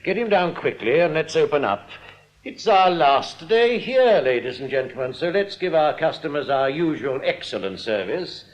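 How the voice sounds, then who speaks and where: An actor in a radio play playing a smugly genial crooked bank manager, urbane, patronising and unruffled. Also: male voice, a little high, sing-song, normal speed. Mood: briskly genial. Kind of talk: radio drama acting. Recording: dull, muffled radio recording.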